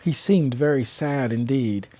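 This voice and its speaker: An older man narrating a children's storybook as storyteller, with expressive, measured read-aloud delivery. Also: mid-range voice, dry recording.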